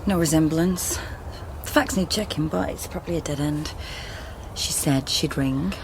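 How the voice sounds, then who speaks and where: An actress playing a guarded, dry-witted woman in a naturalistic radio drama, speaking in terse, deadpan lines. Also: low-pitched, normal speed, background noise. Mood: weary, dry. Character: guarded, dry-witted genealogist woman digging into her past. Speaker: lead actor.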